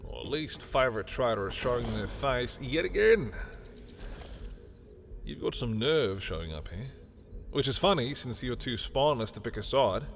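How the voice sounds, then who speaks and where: A man's voice: mid-range voice, animated, moderate pace, creaky, soft background music. A man playing a sardonic, petty villain in a scripted audio roleplay, talking in theatrical, sarcastic taunts. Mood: mocking. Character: sardonic, petty male villain, grudgingly familiar with rival.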